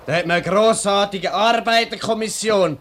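An actor playing a sullen, resentful factory employee with a Swiss German accent, curt and grumbling, in a vintage radio drama recording. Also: male voice, sits high, normal speed, fairly bright tone, a little hiss or hum. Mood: bitter, accusing. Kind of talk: 1950s radio drama acting.

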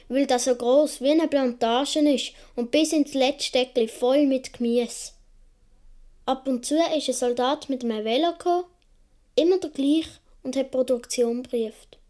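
An imaginative little Swiss boy in a radio play, with a high, soft-toned voice. He tells his world earnestly and dreamily. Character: imaginative young Swiss boy of seven or eight. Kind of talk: radio play monologue, childlike storytelling.

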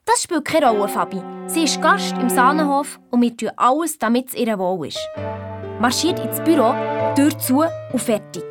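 A woman's voice: high voice, animated, normal speed, over music. A woman playing a confident, eager young hotel owners' daughter in a children's audio drama, telling her story with a Swiss German accent. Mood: intrigued, conspiratorial.

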